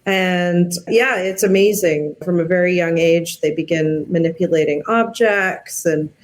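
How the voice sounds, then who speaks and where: A woman's voice: fairly low pitch, a little slow. A woman speaking as a researcher on a panel, telling stories in an informal, chatty, conversational way. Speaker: cockatoo researcher, panel guest. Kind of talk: casual conversational panel talk.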